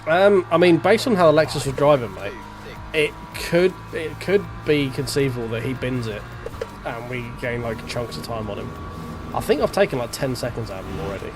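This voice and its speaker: Congested streamer over racing game sounds. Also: male voice, medium pitch, expressive, moderate pace. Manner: chatty, mutters to himself while driving. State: congested, blocked-up nose. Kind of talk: live gameplay commentary. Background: game music and car engine sounds.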